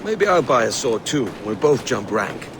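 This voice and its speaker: A man's voice: medium pitch, sing-song, normal speed, background noise. A man acting a roguish young pirate sailor in a video game, with a Welsh accent and a breezy, wry, cheeky manner. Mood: wry, easygoing.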